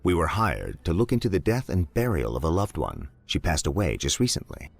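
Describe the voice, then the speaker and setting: A grave detective speaks in a deep, creaky voice with lively inflection. He is formal, measured and earnest, as in a dramatic video game cutscene. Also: faint music behind, heavily acted. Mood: grave, inquiring. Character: grave, formal male detective investigating deaths.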